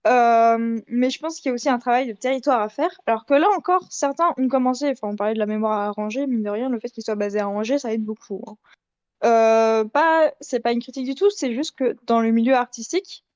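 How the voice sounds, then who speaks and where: A woman's voice: fairly high pitch, a little fast, darkish tone, smooth, dry recording. A woman speaking analytically in a casual panel debate, on a slightly muffled recording. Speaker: debate participant.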